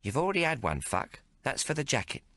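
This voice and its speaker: A man's voice: medium pitch, big pitch swings, a little fast, a bit bright, no echo, pitched above the performer's own voice. A man voicing a bossy, coarse young woman who haggles fiercely in an audiobook. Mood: blunt. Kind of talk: audiobook character voice.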